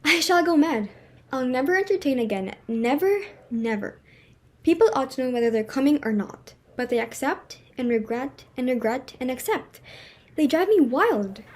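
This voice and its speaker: High-pitched, melodramatic society hostess played by a woman. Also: animated, normal speed. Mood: exasperated, despairing. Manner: melodramatic, exclamatory, emphatic. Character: flustered, theatrical society hostess and mother, indignant, imperious. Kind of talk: dramatic reading of a stage comedy.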